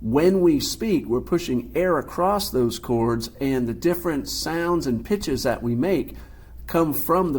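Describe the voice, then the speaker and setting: A male science teacher with a deep, husky voice lectures through a lesson. He explains each term patiently, one step at a time. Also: darkish tone. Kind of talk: recorded classroom lecture.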